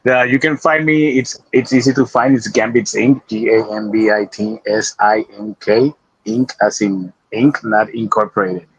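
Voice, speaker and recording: A man with a slightly husky voice speaking casually as a guest artist on a livestream. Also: on the low side, fairly even intonation, normal speed, dry recording, highs cut off. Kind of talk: casual self-promotion on a livestream.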